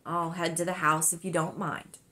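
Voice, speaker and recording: A woman voicing an uneasy, weary father in a storybook, speaking in a hesitant, halting way. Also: fairly low pitch, fairly even intonation, pushed and bright. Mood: reluctant. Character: uneasy, weary father who avoids parties.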